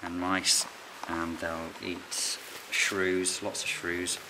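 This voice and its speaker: Man, middle-aged, very deep voice, quiet hands-on explaining, farm barn, noisy camera sound. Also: monotone, extremely slow. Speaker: licensed bird ringer. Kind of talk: explaining fieldwork while handling birds. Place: open farm barn on straw, outdoors air. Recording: noisy outdoor camera recording.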